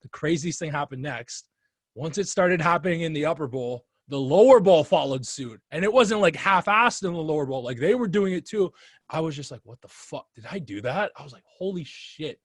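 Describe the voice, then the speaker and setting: A young man hosting a podcast, telling a story in a lively way and acting out his own calls. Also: a little high, moderate pace, husky, no echo, studio quality. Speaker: podcast host. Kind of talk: casual podcast conversation.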